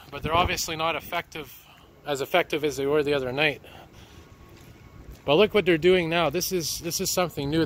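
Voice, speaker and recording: A man, slightly hoarse from a cold, narrating as he walks by a flooded river with a handheld camera. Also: on the high side, fairly bright tone. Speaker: citizen video reporter. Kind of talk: walking commentary. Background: street and water noise.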